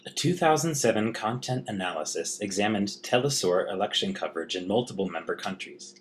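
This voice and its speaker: Low-pitched man reading a script. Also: animated, fairly quick, a little hiss or hum. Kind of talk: scripted narration.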